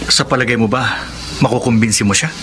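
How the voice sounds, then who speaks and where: A man's voice: medium pitch, steady tone, brisk, noisy background, close to an everyday voice. A man with a Filipino accent playing a young, probing, matter-of-fact confidant and go-between in a radio drama. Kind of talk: Tagalog radio drama acting.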